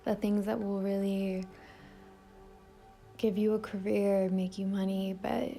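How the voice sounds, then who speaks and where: A woman leading an impromptu guided meditation in a soft, gentle voice that often trails toward a whisper. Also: medium pitch, level tone, measured pace, gravelly, faint music behind. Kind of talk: impromptu guided meditation with casual rambling introduction.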